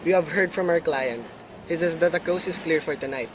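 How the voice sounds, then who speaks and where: A cold-blooded criminal boss acted by a man issues commands in a fairly high male voice. The delivery is deliberate and businesslike, amateur radio drama with clearly noisy ambience behind it. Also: natural voice. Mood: cold, instructing. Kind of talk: amateur radio play acting.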